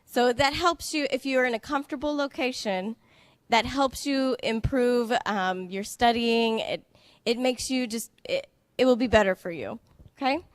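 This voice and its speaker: Clear, patient woman giving a talk. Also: fairly high pitch, normal speed, no echo. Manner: clear, patient, checks understanding. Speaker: international student services director. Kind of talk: slow, simple presentation for English learners.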